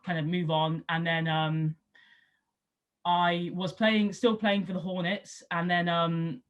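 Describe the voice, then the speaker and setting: A woman's voice: level tone, slow pace, dull audio. A woman in her twenties with a Somerset accent, telling her story in a casual, rambling way with lots of ums and likes. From Somerset, England. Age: 20s. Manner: chatty, many ums and likes, acts out quoted people in a higher voice. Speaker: rugby league player. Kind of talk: casual interview answers.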